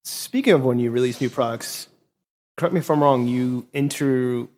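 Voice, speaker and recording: A man hosts a conversational interview at an ordinary mid pitch. He winds through long questions and corrects himself along the way. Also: moderate pace, darkish tone. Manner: rambling, self-correcting questions. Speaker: podcast host.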